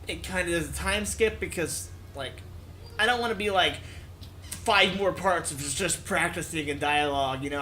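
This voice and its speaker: A man's voice: fairly high pitch, bright, forceful tone, slight background noise. A man hosting a let's play, reading a visual novel aloud and adding casual commentary. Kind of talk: game narration and casual commentary. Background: background music.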